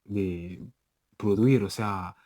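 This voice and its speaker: A young man with a Nigerian accent talks to camera, his voice sitting low and close on a dry, roomless recording. He stumbles, restarts and laughs off his own mistakes as he goes. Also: fairly even intonation, slow, warm tone, no room sound. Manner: self-correcting, laughs at own slips, frequent restarts. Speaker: language-learning vlogger. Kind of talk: casual self-recorded vlog.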